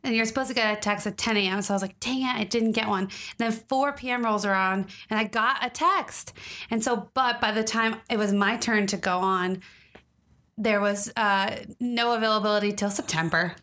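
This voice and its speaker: A woman's voice: medium pitch, moderate pace, a bit bright. A woman co-hosting a podcast, chatting casually and telling stories with plenty of "like". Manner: chatty storyteller, fillers like "like". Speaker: podcast co-host.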